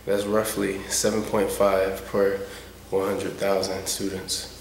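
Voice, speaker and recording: A male narrator delivers scripted educational material. His intonation stays flat and even throughout. The recording is echoey, with faint background noise. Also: sits low, takes it slowly, creaky.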